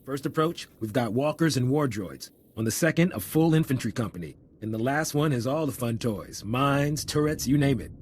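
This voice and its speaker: An actor playing a cocky, blunt military officer with a brisk, informal manner in a video game. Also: male voice, medium pitch, lively intonation, a little hiss or hum, close to an everyday voice. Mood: brisk briefing. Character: cocky, blunt male resistance fighter officer.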